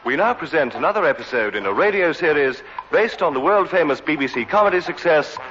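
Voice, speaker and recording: A man's voice: fairly high pitch, lively intonation, a little fast. A British man making formal announcements in the style of 1960s radio. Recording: muffled old broadcast, loud music and audience.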